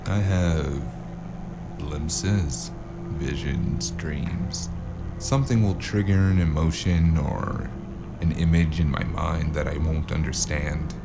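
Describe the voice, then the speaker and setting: A man playing a wary amnesiac drifter in a noir audio drama, hesitant and wry, with a very deep, gravelly voice. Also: steady tone, takes it slowly, heavy background noise, heavily acted. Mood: hesitant, guarded. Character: wary amnesiac male drifter narrating his noir tale. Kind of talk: noir audio drama acting and first-person narration.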